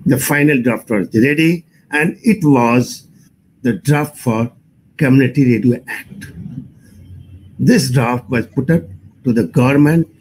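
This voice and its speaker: An older man with an Indian accent and a slightly husky voice, giving an explanatory talk in measured, formal phrasing as a technical expert. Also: mid-range voice, a little hiss or hum, low-quality recording. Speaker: radio engineering expert giving a presentation. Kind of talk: explanatory lecture with personal recollection.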